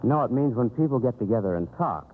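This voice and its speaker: A man's voice: mid-range voice, animated, quick pace, slight background noise. A man playing the straight man in a 1930s radio comedy, explaining things with patient exasperation. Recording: tinny 1930s radio recording, music.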